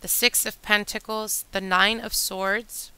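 A woman reading tarot cards for her channel, chatty and addressing viewers directly, her voice a little hoarse from a long day. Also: medium pitch, flat delivery, a little slow. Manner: chatty, warm address to viewers, whispers during shuffling. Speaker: tarot reader. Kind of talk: casual tarot reading monologue. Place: indoor table with candles and decor.

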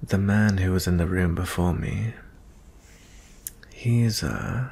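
Deep, slow, gentle fantasy prince acted by a man. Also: vocal fry, slightly rough, heavily acted. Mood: reassuring. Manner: soft-spoken, hesitant, breaking into whispers. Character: gentle, merciful young fantasy prince, male. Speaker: audio roleplay performer. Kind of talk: intimate ASMR fantasy roleplay acting.

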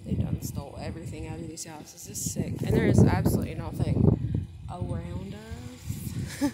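A woman, narrating a casual handheld vlog outdoors in the desert, offhand, cursing now and then. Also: fairly low pitch. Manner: slow, offhand, cursing and laughing. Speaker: vlogger exploring. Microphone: phone camera held by the speaker. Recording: outdoor phone audio with clear wind noise.

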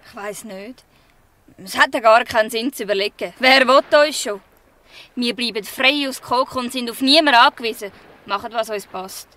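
A woman's voice: fairly high pitch, moderate pace, pushed and bright. A woman playing a proud, defiant teenage girl gang leader in a Swiss German radio play. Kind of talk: Swiss dialect radio drama acting.

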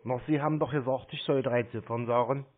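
A man's voice: mid-range voice, steady tone, fairly quick, slight background noise. A native German man on a prank phone call, rambling and crude as he plays dim. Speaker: prank caller baiting phone scammers. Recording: narrow, tinny phone line.

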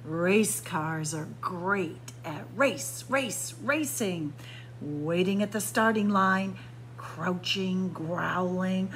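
Female picture-book reader for small kids: very unhurried, swooping sing-song delivery, stressed key words, noisy indoor recording. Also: lowish voice, fairly bright tone. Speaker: children's librarian and storyteller. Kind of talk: picture book read-aloud for young children. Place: library room with bookshelves, indoors.

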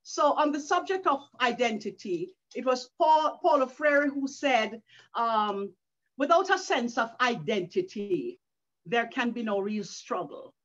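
Slow-talking older woman on a webcam. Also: a little high, expressive, a bit bright. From Caribbean. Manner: slow, earnest, with frequent restarts. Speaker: author and educator. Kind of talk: talk on a video call. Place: living room at home, indoors. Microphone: video call webcam. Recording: dull lo-fi call audio.

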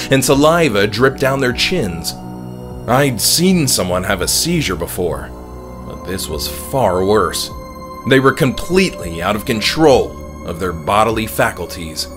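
Slow, dramatic male horror narrator. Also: lowish voice. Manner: measured, dramatic storytelling. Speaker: author reading his own novella. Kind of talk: scripted horror audiobook narration.

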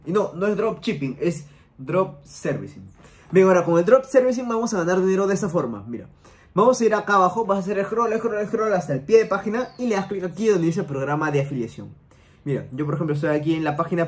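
A young man hosting a screen-recorded tutorial from a room at home, talking straight to the viewer with repetitive, step-by-step directions. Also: high voice. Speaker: online money-making YouTuber. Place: home room, gaming chair.

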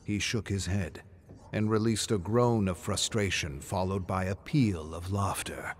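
A man's voice: deep voice, fairly even intonation, measured pace. A man reading scripted, dramatic story narration as the narrator.

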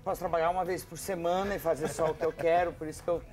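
A woman's voice: on the low side, fairly even intonation, rapid-fire, darkish tone. Woman with an Italian accent, dry humor. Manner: dry, self-mocking humor. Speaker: guest journalist and commentator. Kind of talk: casual talk-show chat. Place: bright TV studio set. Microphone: lapel mic.

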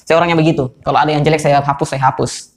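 Young man with a slightly hoarse, higher voice. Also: level tone, very fast. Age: young adult. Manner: laid-back, chatty. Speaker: YouTuber hosting a podcast call. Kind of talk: casual vlog-style phone interview. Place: small room, indoors.

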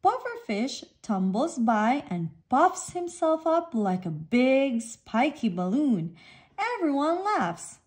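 A woman reading a children's picture book aloud in an expressive, deliberate and emphatic way. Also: high-pitched, slightly rough. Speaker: storybook reader. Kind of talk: animated children's read-aloud narration.

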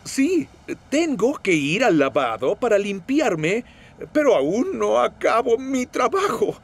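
A man voicing a vain, excitable red steam engine in a Latin American Spanish cartoon dub, dramatic and fussy. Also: extremely high pitch, slow pace, gravelly, slightly rough, heavily acted. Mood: determined. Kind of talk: cartoon dubbing. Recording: music bed behind the dialogue.

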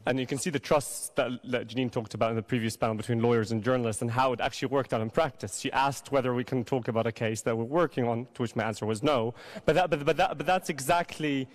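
A man's voice: mid-range voice, fast talker, few pauses. A man in his thirties from Syria, a legal counsel answering on a conference panel with a handheld mic, hesitant with many restarts and repeated words. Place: large conference hall. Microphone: handheld microphone.

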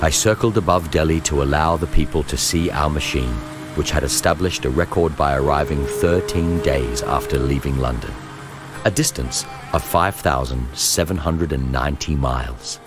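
A man reads a historical journal as scripted narration, in a measured, formal storytelling manner. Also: rumbling low, over music. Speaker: narrator reading a memoir.

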